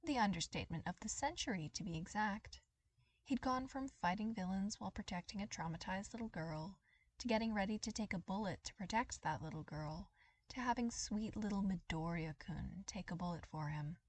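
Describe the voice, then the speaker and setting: A woman reading a story aloud as scripted, expressive dramatic narration. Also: mid-range voice, moderate pace, husky. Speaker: podfic reader.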